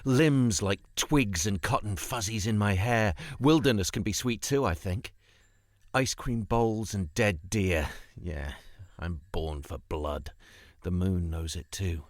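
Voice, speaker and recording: A man recites poetry in a low voice at a slow, deliberate pace. His phrasing is dramatic, broken into short lines. The sound is close and dry, with no room around it. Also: no echo. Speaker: guest poet reading a poem.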